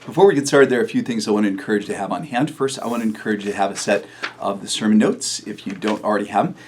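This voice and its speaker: Low-voiced pastor telling a story. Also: male voice, rapid-fire, a little hiss or hum. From New Mexico, USA. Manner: relaxed storytelling with fillers and chuckles. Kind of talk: recorded video sermon.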